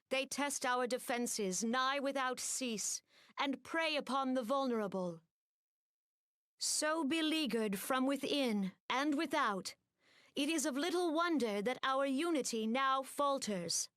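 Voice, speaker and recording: A woman's voice: high-pitched, a bit bright, dry recording, studio quality, full character voice. A woman playing a solemn, dignified leader giving a formal, earnest ceremonial speech in a fantasy game. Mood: grave. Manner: measured, earnest oratory. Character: solemn, dignified female leader addressing her grieving people.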